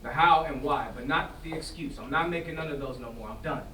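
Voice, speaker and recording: A man's voice: on the high side, bright, forceful tone. A young man acting as a troubled teenage son pleading with his mother, in a staged play reading, speaking colloquially with insistent repetition. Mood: earnest, defensive. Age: young adult. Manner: colloquial, halting, repeating phrases insistently. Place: indoor staged reading before festival, distant mic. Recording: roomy, distant stage pickup, faint noise.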